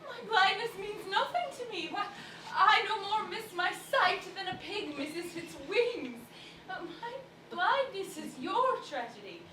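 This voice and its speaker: A woman's voice: very high voice, expressive, unhurried, echoey room, background noise. A woman playing a proud, loving blind daughter in a stage play, emphatic and teasing, recorded from a distance. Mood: defiant. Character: spirited blind daughter, proud, loving, unfooled by kindly lies.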